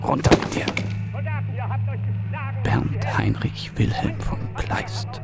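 An actor playing a muttering, solemn, deliberate man in a radio play. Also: over loud music, close to an everyday voice. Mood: hushed, contemptuous. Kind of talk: German radio play acting.

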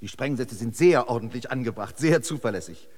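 An actor playing a chatty, eccentric local guide in a decayed city, rambling and effusively hospitable in a radio drama. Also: male voice, medium pitch, lively intonation, a little fast, darkish tone, a little hiss or hum, lightly acted. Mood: eager welcoming. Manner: effusive, rambling, quirky hospitality, chuckles to himself. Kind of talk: German radio drama acting.

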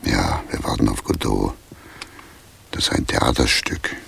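An actor playing a morbid, sentimental vagrant in a 1980s radio play, with a gravelly voice. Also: male voice, extremely low pitch, measured pace, fairly bright tone, a little hiss or hum, heavily acted. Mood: melancholy. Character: shivering male vagrant, drunk-sentimental, morbid and mournful.

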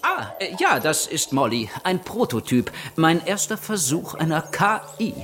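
An actor playing a proud, eccentric English gentleman inventor in a German radio drama, polite but cutting and excitable. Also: on the high side, measured pace, background noise. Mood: eager explaining.

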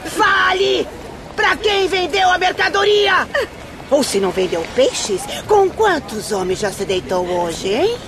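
A woman playing a harsh, abusive mother in a radio drama, scolding and shouting. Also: very high-pitched, animated, takes it slowly, crowd noise, full character voice. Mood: furious scolding.

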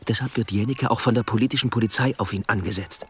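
An actor playing a sardonic, driven police inspector in a radio play, speaking in dry, clipped lines. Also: male voice, fairly low pitch, fast, fairly bright tone, slightly rough. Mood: brooding. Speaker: police detective, lead character. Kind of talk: radio play dialogue and inner monologue. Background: music.

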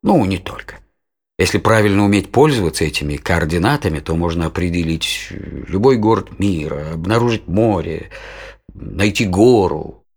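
A patient father with a low, husky voice. He explains in a measured, teacherly way, as in an educational audio drama. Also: animated, darkish tone, exaggerated voice. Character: patient father explaining science to his children.

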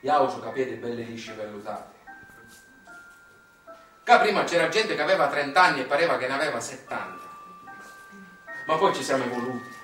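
Echoey high-voiced Sicilian man, theatrical actor's monologue. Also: sharp tone. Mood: wry, storytelling. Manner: declamatory, colloquial, sardonic. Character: working-class Sicilian refinery clerk and father, wry, impassioned storyteller. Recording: echoey distant stage recording with music.

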